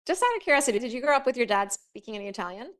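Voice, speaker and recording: Woman podcast host; fast, slightly high, eager interviewer who cuts in. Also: sing-song, fairly bright tone. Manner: eager, curious, talks over guest.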